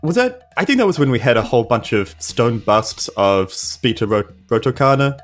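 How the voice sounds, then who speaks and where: A man's voice: mid-range voice, animated, moderate pace, faint music behind, close to an everyday voice. A man with a New Zealand accent playing a polite, exasperated human adventurer in a tabletop game, hesitant and pleading. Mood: hurt, hesitant. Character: weary, polite, awkward human male adventurer. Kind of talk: improvised tabletop roleplay.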